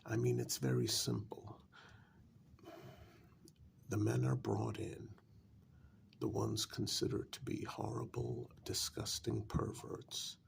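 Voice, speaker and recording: A man playing a calm, frank vampire in a soft-spoken, halting ASMR role-play, often dropping close to a whisper. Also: on the low side, vocal fry, a little hiss or hum, heavily acted. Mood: matter-of-fact. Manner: slow, deliberate, halting with long pauses.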